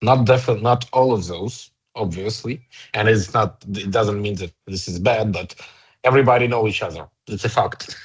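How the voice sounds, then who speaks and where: A man with a Ukrainian accent, an HR director in his thirties, answering interview questions in a halting way with many fillers and restarts. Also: on the low side, breathy edge, low-quality recording. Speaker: HR director guest.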